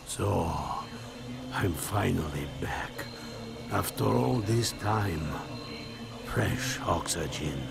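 Weary cosmonaut captain, low gravelly voice, halting and wistful, video game drama over music. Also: male voice, expressive, heavily acted. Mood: weary wonder. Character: weary male Soviet cosmonaut captain back on Earth. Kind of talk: video game cutscene acting. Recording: music behind the voice.

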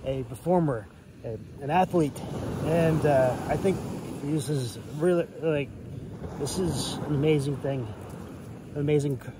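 A man's voice: on the high side, a little slow. A man in his thirties giving a casual show-and-tell in a hesitant, rambling way. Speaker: writer and hobbyist reviewer. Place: outdoors on a beach shore. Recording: outdoor wind and water noise.

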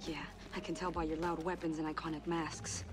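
A woman's voice: sits low, flat delivery, fairly quick, natural voice. Woman playing a tough, defiant young guerrilla. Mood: dry, impatient. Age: young adult. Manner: blunt, defiant. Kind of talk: video game voice acting. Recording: noisy game effects behind.

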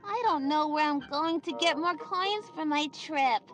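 A woman's voice: sits high, over music. A woman voicing a young cartoon girl who hosts a children's show in a video game, talking to viewers in a singsong way. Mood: instructive, bright. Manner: singsong, slow counting, addressing young viewers.

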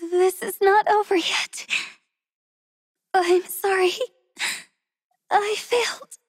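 Soft, tender game heroine, young and devoted, high-pitched, slow and breathy, dry studio sound. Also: female voice, steady tone, no echo. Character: gentle devoted young woman healer, soft-spoken and tender. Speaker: voice actress for a game character. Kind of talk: game character voice lines.

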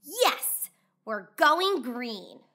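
Lady doing nice lady fox teacher from a picture book, slow, emphatic, encouraging. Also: high voice, sing-song, bright, forceful tone, exaggerated voice. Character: kind, encouraging female fox schoolteacher.

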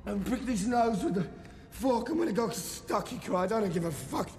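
An actor playing a terrified young English captive with an English accent, stammering pleas and forcing out jokes. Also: male voice, high voice, expressive, moderate pace, a little mellow. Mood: desperate bravado. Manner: pleading, stammering, then forced jokey bravado. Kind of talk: film drama acting. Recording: reverberant hall with film score.

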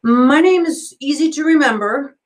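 An earnest, encouraging male camp counselor from a storybook, read by a woman. The delivery is emphatic and lifted high, with an upbeat, well-meaning temper. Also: normal speed, natural voice.

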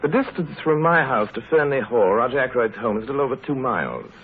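Quick-talking, droll village doctor on old radio. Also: male voice, medium pitch, sing-song, faint music behind. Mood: reflective. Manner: dry, droll, literate. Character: wry, genial male village doctor narrating his tale. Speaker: actor. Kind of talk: 1930s radio drama narration. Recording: muffled, scratchy 1930s radio broadcast.

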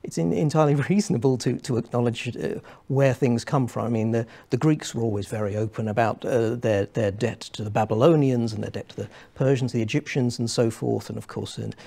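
A young man on a studio panel, explaining at length in a measured way with many restarts. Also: mid-range voice, creaky, dark tone. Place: small studio set with armchairs.

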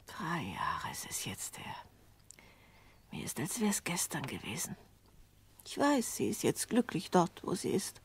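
An actress playing an ailing, sweetly domineering old mother in a German radio drama, with plaintive, theatrical, drawn-out phrasing. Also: fairly low pitch, wildly swooping pitch, slightly rough, whispered, full character voice. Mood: wistful grief. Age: older.

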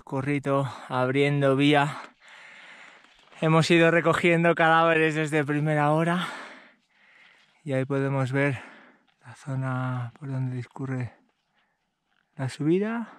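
A man's voice: on the high side, a little slow, pauses often, fairly bright tone, smooth, no room sound. A man from giving casual commentary as he films outdoors on a mountain. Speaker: vlogger filming a ski tour. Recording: noisy outdoor action camera recording.

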